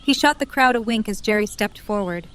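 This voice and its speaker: A woman's voice: medium pitch, a little fast, clear, resonant tone. A woman narrating a scripted story for an audio drama.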